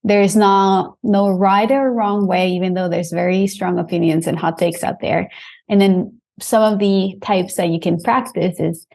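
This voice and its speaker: A woman from Costa Rica speaks as a sexologist guest on a podcast, her mid-pitched voice full of vocal fry. She talks casually and upbeat, explaining things plainly. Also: steady tone, a little slow, darkish tone. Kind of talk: casual interview talk.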